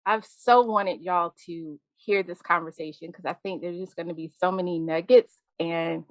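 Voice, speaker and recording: A woman hosting a podcast interview in casual chat, bubbly and quick to laugh. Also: fairly low pitch, fairly even intonation, dry recording, poor audio. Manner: bubbly, laughs often, addresses listeners as y'all. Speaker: podcast host.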